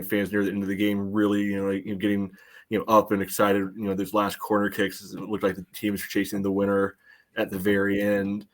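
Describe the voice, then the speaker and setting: A man co-hosting a sports podcast, chatting casually with halting phrasing full of filler words. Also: on the low side, a bit bright. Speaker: podcast co-host.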